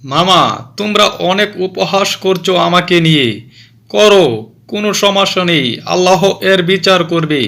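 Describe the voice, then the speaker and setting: A man's voice: high voice, moderate pace, a bit bright. A man voicing a downcast fox who is mocked for his cut-off tail. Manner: plaintive, self-pitying.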